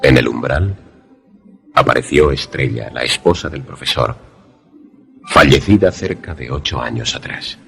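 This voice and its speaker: An actor playing a haunted, lovelorn former student who narrates a suspense radio drama in a solemn, measured, dramatic way. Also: male voice, a bass voice, gravelly, sharp tone, soft background music, full character voice. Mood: solemn, suspenseful.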